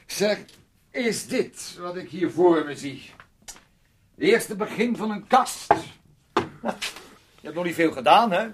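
A man's voice: high-pitched, expressive, slow, pauses often, slight background noise. An actor playing a domineering man in a radio play, sarcastically needling and teasing his timid housemate, swinging between outbursts and muttering. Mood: mocking. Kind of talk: Dutch radio play acting.

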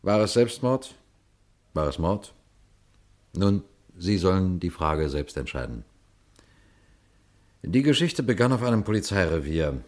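An actor playing a police detective who tells his case to listeners in a deliberate 1950s radio crime play style. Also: low voice, very animated, darkish tone. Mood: grave storytelling. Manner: deliberate, rhetorical questions to the listener.

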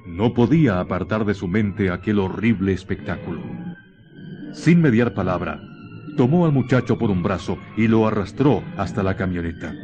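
Male narrator, somewhat deep, solemn and dramatic, deliberate pauses, scripted horror tale, soft music behind. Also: dull audio.

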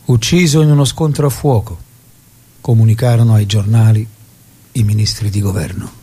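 An actor playing a solemn storyteller, giving scripted dramatic narration in a grave, measured way. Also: male voice, on the low side, fairly even intonation, warm tone, breathy edge. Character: solemn male storyteller recounting a revolutionary's death.

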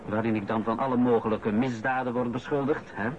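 An actor playing an incoming director who talks in a deliberate, pointed, probing way in a radio play. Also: male voice, mid-range voice, steady tone, lightly acted. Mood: pointed, insinuating. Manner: deliberate, insinuating. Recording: muffled recording with clear background noise.

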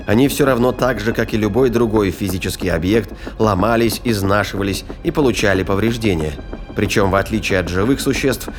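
A man narrating a scripted science-fiction audio drama. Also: fairly low pitch.

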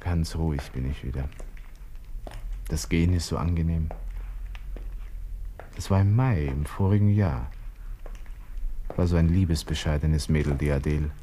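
Deep, brooding young Viennese lieutenant. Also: male voice, moderate pace, long pauses, mellow. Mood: wistful, bitter. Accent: Austrian, Viennese. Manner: musing to himself, murmured, wry. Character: melancholy, cynical young Austrian army lieutenant facing death. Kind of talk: 1960s radio play interior monologue. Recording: hissy old radio play recording.

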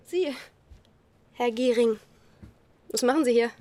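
A woman's voice: a little high, slow, dark tone, no room sound. An actress in a radio play voicing a cheeky, wary teenage village girl. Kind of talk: radio drama acting.

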